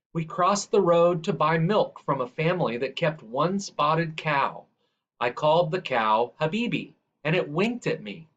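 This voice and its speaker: A man reading a children's picture book aloud in a measured, deliberate way. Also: on the high side, a bit bright. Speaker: reader. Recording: dull, lo-fi sound.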